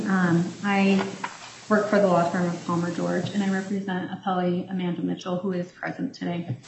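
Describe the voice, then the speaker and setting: A nervous woman attorney with a very soft, shaky voice, arguing carefully before a court at the podium microphone and pausing on many ums. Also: fairly low pitch, level tone, creaky, background noise. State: nervous, voice shaking. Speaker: appellee's attorney. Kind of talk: appellate oral argument. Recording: roomy courtroom echo.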